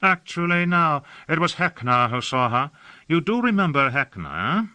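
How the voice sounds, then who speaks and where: A man's voice: on the high side, sing-song, a little slow, sharp tone, no room sound, voice pushed up, exaggerated voice. A man voicing an airy, languid male citizen who gossips in an affected, lilting drawl.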